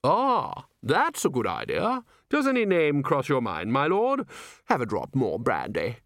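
A man voicing a scheming upper-class gentleman, formal, condescending and impatient, in a comic fantasy audiobook. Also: medium pitch, huge pitch swings, a little slow, creaky, no echo, studio quality, pitched above the performer's own voice, exaggerated voice. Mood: wheedling. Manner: formal, wheedling, pompous.

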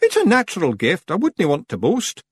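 A man's voice: high voice, wildly swooping pitch, moderate pace, slightly rough, voice pushed up, heavily acted. A man voicing a bossy, boastful little Feegle clan leader in an audiobook, hectoring in Scottish dialect. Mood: proud. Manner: emphatic, hectoring, speechifying in dialect.

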